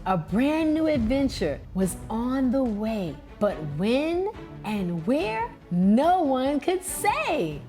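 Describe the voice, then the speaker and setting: Expressive, lively woman reading a children's story. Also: high-pitched, soft background music. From New York. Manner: deliberate, expressive. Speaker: children's read-aloud host.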